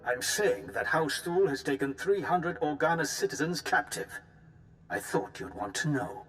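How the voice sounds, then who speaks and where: An actor playing a smug, menacing baron in a video game, formal and condescending, with civil politeness over threat. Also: male voice, on the high side, expressive, normal speed, a bit bright, faint music behind. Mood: smug, threatening. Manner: smooth-talking, condescending, formal. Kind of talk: cinematic video game villain acting.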